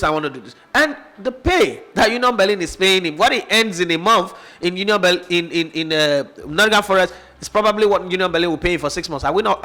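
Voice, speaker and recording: A man with a Nigerian accent holds forth on football in an opinionated, passionate way. He keeps throwing rhetorical questions at his listener. His voice sits a little higher than most men's. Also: a bit bright. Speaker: sports show host. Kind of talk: opinionated football analysis chat.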